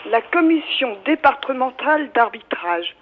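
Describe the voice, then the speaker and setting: A woman's voice: high voice, lively intonation, a little slow. A woman in a phone conversation, questioning and baffled, on a dull, noisy line. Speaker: prank call victim.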